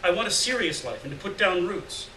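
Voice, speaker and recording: A man's voice: high-pitched, animated, brisk, sharp tone, voice pushed up. A man voicing a serious, guarded poet in a live story reading, speaking in a terse, restrained, plain way. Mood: stiff, guarded. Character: serious, guarded, plain-spoken male poet living alone. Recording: roomy, distant mic, faint noise.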